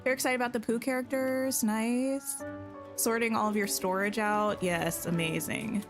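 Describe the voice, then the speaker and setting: A chatty woman streaming a game, greeting viewers and thinking aloud in a relaxed, everyday way. Her voice is a little scratchy today, and faint game music plays underneath. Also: a little high, normal speed. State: slightly scratchy voice. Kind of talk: casual live-stream chat while playing.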